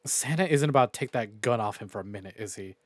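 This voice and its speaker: A man streaming a visual novel, reading it aloud and reacting in an expressive, sweary way. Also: fairly low pitch, fast, dry recording. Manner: expressive, profane. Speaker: game streamer.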